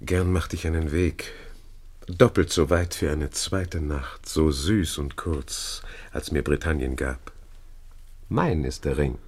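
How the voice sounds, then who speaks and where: An actor playing a smug, suave gentleman schemer in a classic radio drama, taunting and theatrical, with a husky voice. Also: extremely low pitch, slow, exaggerated voice. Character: smug scheming Italian gentleman boasting of seduction.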